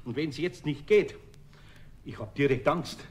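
A fairly high-voiced older Bavarian craftsman acted by a man, good-natured but easily exasperated. He grumbles and indulges in a homely way, as in a children's radio play, with some background noise. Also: measured pace, lightly acted. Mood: flustered, relieved. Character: good-natured, harried ageing male master carpenter, easily exasperated.

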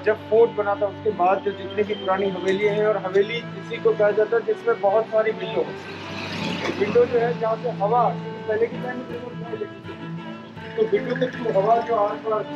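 Brief: middle-aged male heritage guide, higher pitch, outdoor street recording with music over the top. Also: muffled recording. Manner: explanatory, mixes Hindi with English phrases. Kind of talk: guided tour explanation. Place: outdoors in old city lanes. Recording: loud background music.

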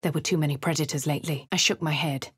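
A woman with a posh English accent giving refined, measured first-person narration for a game character. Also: sits low, steady tone, husky, no room sound. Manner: refined, measured, clipped delivery. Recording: dry studio voice-over.

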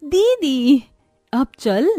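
A woman voicing a poor young village sister, earnest and expressive, in an animated moral story. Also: sits high, very slow, no echo, studio quality, soft background music, heavily acted. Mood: encouraging.

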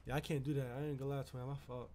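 A man's voice: medium pitch, little pitch movement, rapid-fire, a little mellow. A young man bantering casually in street slang during online game roleplay, often repeating his phrases. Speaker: player in a GTA roleplay server. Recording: background noise on game voice chat.

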